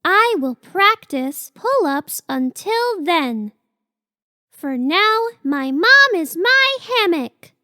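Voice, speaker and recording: A woman's voice: very high-pitched, sing-song, very slow, sharp tone, no echo, studio quality, heavily acted. A woman voicing a cute, earnest young female sloth baby in a children's picture book read-aloud, with simple, childlike phrasing. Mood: hopeful, earnest.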